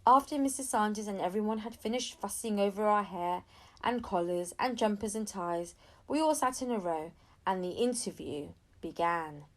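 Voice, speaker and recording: A woman reading a children's novel aloud as an expressive storyteller. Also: medium pitch, normal speed. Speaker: audiobook reader.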